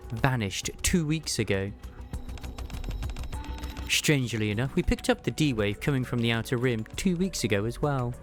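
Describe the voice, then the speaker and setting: A man voicing a brisk, businesslike female agent explaining a mission in a story game. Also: mid-range voice. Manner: matter-of-fact, explanatory, businesslike. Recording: music behind the voice.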